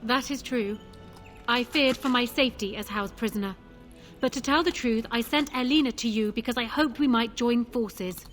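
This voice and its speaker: A woman's voice: fairly high pitch, moderate pace, a bit bright, soft background music, natural voice. A woman playing a proud, shrewd young widowed queen in a fantasy video game, speaking in a formal, commanding manner. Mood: measured, persuasive.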